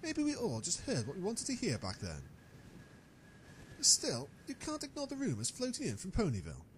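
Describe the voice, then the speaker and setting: A man's voice: high voice, wildly swooping pitch, moderate pace, a little hiss or hum. A man playing a cocky, gossiping unicorn newspaper reporter with a knowing manner in an amateur audio drama.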